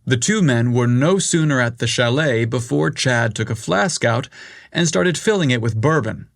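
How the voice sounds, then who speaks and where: A man reading a story aloud as narrator, in a measured, unhurried way. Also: medium pitch, lively intonation, gravelly, no room sound, studio quality.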